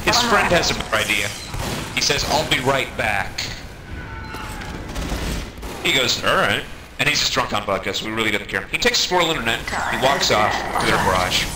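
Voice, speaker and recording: A man telling a comedic anecdote in an animated way, acting out the quotes as he goes. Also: medium pitch, moderate pace, long pauses. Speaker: storyteller, online gamer. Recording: noisy amateur game-session recording.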